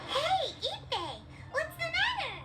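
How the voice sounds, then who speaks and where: A woman's voice: very high-pitched, very animated, slow, recorded from a distance, heavy background noise, full character voice. A woman voicing a tiny, chirpy, childlike fairy companion in a video game, with music behind her. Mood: chirpy, curious. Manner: chirpy, refers to self in third person. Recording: game audio under loud music.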